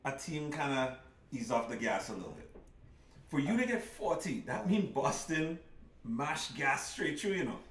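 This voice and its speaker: A man's voice: on the high side, very animated, normal speed, fairly bright tone, recorded from a distance. A middle-aged man co-hosting a casual sports talk show, earnestly building his argument step by step. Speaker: podcast co-host. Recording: roomy sound, mic set back.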